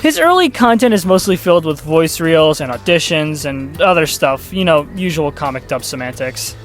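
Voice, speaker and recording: A man's voice: on the high side, lively intonation, normal speed, fairly bright tone, faint music behind. A man giving casual, sarcastic YouTube commentary, rambling and swearing freely.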